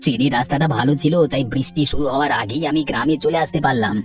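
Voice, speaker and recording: Courteous, caring young cartoon son male performer, brisk, slightly distant with music. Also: mid-range voice, natural voice. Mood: caring, polite. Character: polite, reassuring young son back from city. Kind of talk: Bengali cartoon story dialogue.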